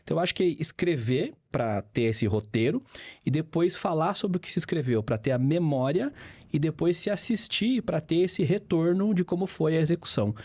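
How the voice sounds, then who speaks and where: A man's voice: a little high, animated, normal speed, a little mellow, husky, no echo. A man aged fifty with a Brazilian accent, a guest teacher explaining things conversationally with examples and repetition. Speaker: guest, public speaking teacher.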